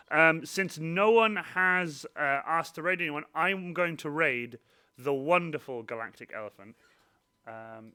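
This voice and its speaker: A man's voice: mid-range voice, sharp tone, clean tone. A young man hosting a casual live stream, chatty and self-mocking, tripping over names and laughing often. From Wales.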